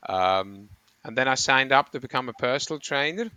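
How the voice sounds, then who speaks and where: A man's voice: medium pitch, expressive, moderate pace, pushed and bright, clear, resonant tone, no room sound. A middle-aged man from Switzerland, a running coach, talking in an interview with rambling, self-correcting explanations. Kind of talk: conversational interview.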